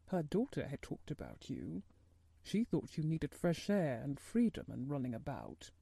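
A miserable middle-aged gentleman, acted out by a woman narrator, speaks in a very mellow, soft-edged tone that rises and falls freely. He is fretful and distracted, halting as though his thoughts are elsewhere. The storybook voice is recorded close and dry. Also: low-pitched, wildly swooping pitch, creaky, breathy edge, dry recording, voice pushed down, full character voice. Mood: weary. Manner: absent-minded, halting, worried.

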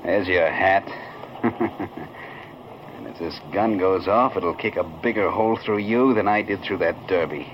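Menacing old-time radio crook: low voice, clipped threats, muffled noisy vintage recording. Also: male voice, measured pace, pauses often. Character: menacing gruff small-time crook with a gun. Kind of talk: 1940s radio acting.

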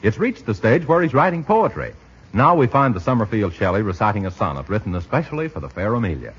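A male announcer in vintage 1940s radio style, polished and theatrical. His slightly rough voice rises and falls dramatically, and the recording is muffled. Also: on the low side, very animated, fast. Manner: polished, theatrical sales delivery.

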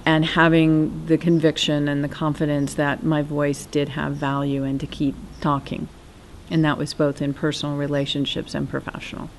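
A woman in cybersecurity speaking firmly and deliberately about finding her voice in a conference interview. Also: sits low, level tone, a little hiss or hum, rough recording. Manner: firm, measured, declarative. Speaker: cybersecurity professional.

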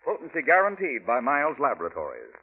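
An American man reading a scripted 1940s radio advertisement as announcer, with an emphatic, deliberate sales delivery. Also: medium pitch, wildly swooping pitch, slightly rough. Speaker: commercial announcer. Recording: tinny, narrow-band old radio recording.

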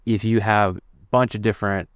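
A man's voice: lowish voice, fairly even intonation, fairly quick, smooth, dry recording, studio quality. A man who works as a video editor, guesting on a podcast and sharing tips in an easygoing, enthusiastic way. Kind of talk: casual podcast conversation.

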